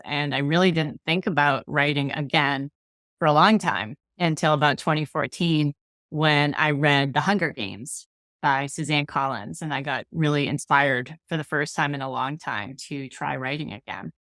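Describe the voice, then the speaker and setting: A middle-aged woman from Massachusetts, a guest author on a video call, telling her story in a relaxed, reflective way with soft laughs. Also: sits low, no echo, studio quality. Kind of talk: casual interview chat. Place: home room with bookshelves.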